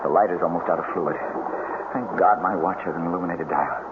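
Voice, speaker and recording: An American actor playing a tense, protective, level-headed man trapped in a cave, deliberate and intense in 1970s radio drama style. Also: low voice, close to an everyday voice. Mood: urgent. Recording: very noisy, narrow-band old radio recording.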